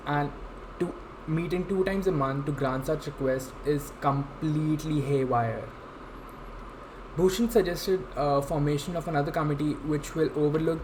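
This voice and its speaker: A young man with an Indian accent giving a formal, somewhat halting scripted explainer as a legal intern. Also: on the high side. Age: young adult. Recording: clearly noisy amateur room recording.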